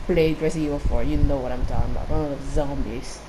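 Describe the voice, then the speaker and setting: A woman's voice: rumbling low, fairly even intonation, fast talker, noisy background. A woman with a Filipino accent chatting casually through gameplay commentary, drifting into digressive asides. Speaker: gaming streamer. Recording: loud game music behind voice. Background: game music and dialogue.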